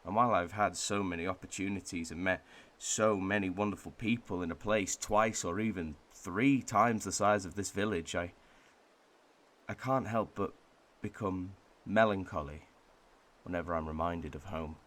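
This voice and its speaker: A man playing a wistful Welshman, delivering a pensive, measured monologue in a radio drama. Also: low-pitched, a bit bright. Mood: melancholy, conflicted. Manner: pensive, measured, with thoughtful pauses. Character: wistful Welshman reflecting on his home village and heritage.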